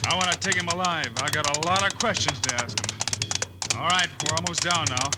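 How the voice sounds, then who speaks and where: An actor playing a steady cowboy lawman hero on horseback, giving clipped, urgent commands in a 1950s Western radio drama. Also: a little high, moderate pace, lightly acted. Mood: determined. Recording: very noisy old radio, hoofbeats. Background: horse hooves clip-clopping.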